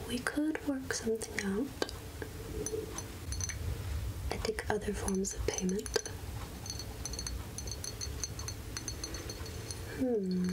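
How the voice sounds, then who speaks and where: A woman playing a secretive woodland witch who sells potions, whispering softly and intimately in an ASMR roleplay. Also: medium pitch, background noise, natural voice. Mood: shrewd, bargaining. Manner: slow, deliberate, intimate, addressing the listener as darling. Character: Agatha, secretive woodland witch selling potions, coaxing and darkly kind.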